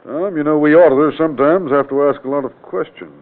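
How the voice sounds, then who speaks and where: An actor playing a calm, courteous state auditor who probes with measured, businesslike questions in an old radio drama. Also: male voice, a little high, natural voice. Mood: probing. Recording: tinny band-limited vintage radio recording.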